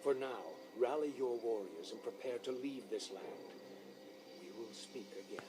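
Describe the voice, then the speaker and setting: An actor playing a mysterious, cryptic prophet who speaks in a solemn, measured way. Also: male voice, medium pitch, natural voice. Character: mysterious cryptic male prophet, older human. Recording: loud hiss on captured game audio.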